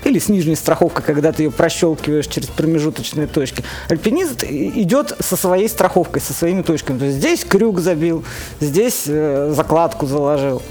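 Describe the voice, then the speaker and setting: A woman's voice: sits low, lively intonation, normal speed, warm tone. A woman answering questions conversationally as an interview guest, speaking about her own experiences. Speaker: interview guest, solo motorcyclist and climber. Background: faint music.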